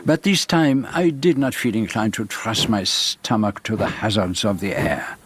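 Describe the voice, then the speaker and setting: A shrewd, fussy detective of a radio play with a French-speaking European accent. His voice is slightly husky, and he speaks in precise, courteous, deliberate phrases. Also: on the low side. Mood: measured, narrating. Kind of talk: radio drama acting and first-person narration.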